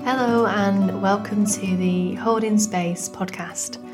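A woman in her thirties from Manchester with a Manchester accent, hosting a podcast in a gentle, reflective, unhurried way. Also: mid-range voice, fairly even intonation, music in the background, poor audio. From England. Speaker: podcast host. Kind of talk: personal podcast monologue.

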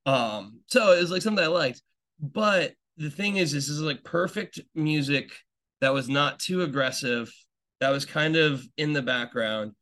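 A man's voice: fairly high pitch, normal speed, a bit bright. A young man hosting a podcast, talking in a casual, rambling monologue full of fillers and restarts, with an enthusiastic fan's tone. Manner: enthusiastic fan talk. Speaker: podcast host.

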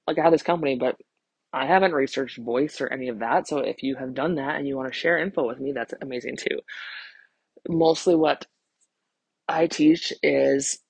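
A woman's voice: extremely low pitch, moderate pace, creaky, a little mellow, breathy edge, no echo. A woman in her thirties hosting a casual solo podcast, rambling candidly and self-deprecatingly, swearing freely. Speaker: podcast host, vocal empowerment coach.

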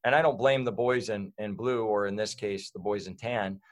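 A man's voice: fairly low pitch, normal speed. A man talking as a guest in a casual interview, rambling with lots of fillers and restarts.

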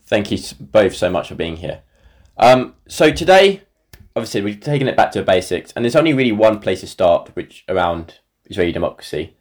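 A man with a fairly low voice hosts a podcast. He introduces the subject and puts questions to his guests. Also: sing-song, a little fast. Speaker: podcast host.

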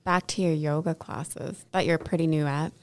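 Lowish-voiced woman in playful, close-up conversation. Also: steady tone, moderate pace, no echo. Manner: playful, teasing. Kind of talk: casual conversational interview.

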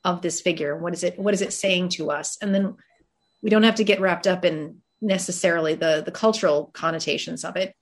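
A woman speaks in a reflective, conversational way. Her voice is a little low for a woman and slightly husky. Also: steady tone, fairly quick. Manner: reflective, conversational, light laughs. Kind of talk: casual discussion.

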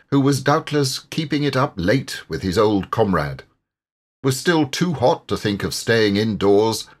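A man reading a literary story as an audiobook narrator, measured and expressive. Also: lowish voice, slightly rough.

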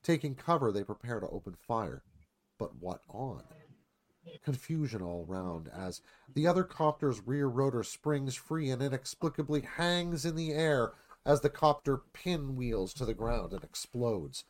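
Male narrator, lively swinging delivery, dramatic reading of stage directions. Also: mid-range voice, measured pace.

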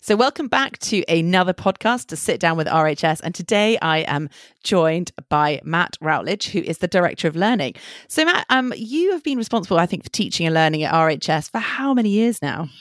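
A woman hosts a podcast, asking her guest questions in a friendly, encouraging way. Her voice is very low for a woman, and the recording is dry and close. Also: animated, fairly quick, dry recording. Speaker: podcast host. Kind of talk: podcast interview.

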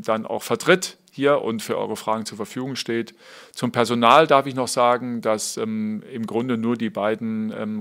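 A man's voice: on the low side, a bit bright. A man acting as a football club's press officer, moderating a press conference formally, with frequent fillers as he announces speakers.

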